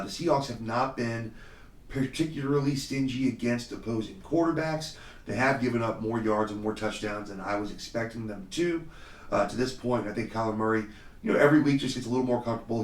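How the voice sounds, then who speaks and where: Male podcast host, slightly hoarse, low-ish voice, casual and confident with lots of fillers. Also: reverberant, far from the mic. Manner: confident, conversational, many fillers. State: slightly hoarse, under the weather. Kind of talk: solo fantasy football podcast talk.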